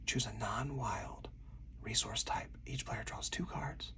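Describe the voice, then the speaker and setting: A man whispering a board game play-through in slow, soothing ASMR style, with breathy, deliberate step-by-step narration. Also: mid-range voice, far from the mic. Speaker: solo board game player and channel host.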